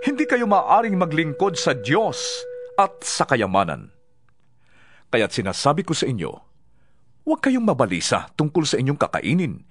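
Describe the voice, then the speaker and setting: An actor playing an earnest male teacher preaching to followers in a dramatized scripture reading, solemn, deliberate and expressive. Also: on the high side. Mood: solemn warning. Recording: background music under the voice. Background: music.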